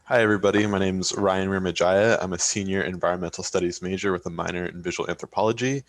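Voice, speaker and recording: A young man from Washington, D.C., introducing himself at a measured pace with small pauses. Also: deep voice, fairly even intonation, no room sound. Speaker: student panelist. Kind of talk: self-introduction on a video call.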